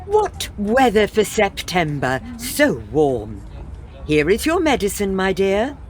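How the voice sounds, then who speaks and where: Comic audio drama: actress as dry, precise Victorian wife, unhurried yet lively, faint background noise. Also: female voice, medium pitch. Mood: attentive. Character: composed, dryly amused Victorian wife of a professor.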